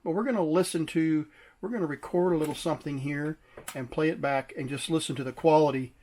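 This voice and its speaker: A man casually demonstrating and explaining a vintage device, unhurried and pausing to search for his words. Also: a little high, darkish tone. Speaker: vintage tech collector presenting a device.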